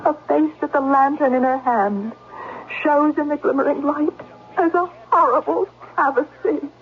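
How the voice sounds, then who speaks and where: An actress playing a frightened, inquisitive young companion in a gothic manor, breathless and intense in a 1970s radio drama style. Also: female voice, high-pitched, moderate pace, natural voice. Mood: mounting terror. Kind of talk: 1970s radio drama acting with first-person narration. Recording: tinny old radio broadcast recording.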